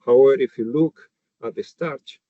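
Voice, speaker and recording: A man's voice: medium pitch, moderate pace, no echo. Chilean-accented man presenting a webinar. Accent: Chilean Spanish. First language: Spanish. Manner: hesitant, many fillers and restarts while explaining slides. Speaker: livestock nutritionist. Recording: dull, dry webinar audio.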